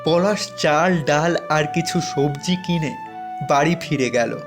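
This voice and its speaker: A man's voice: fairly high pitch, takes it slowly, over music. A man narrating a scripted fairy tale as a cartoon storyteller.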